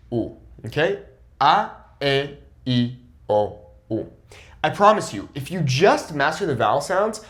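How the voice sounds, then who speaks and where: Lively, upbeat male Spanish teacher. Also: a little high. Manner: lively, explanatory, often checks in with "okay?". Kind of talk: casual video lesson.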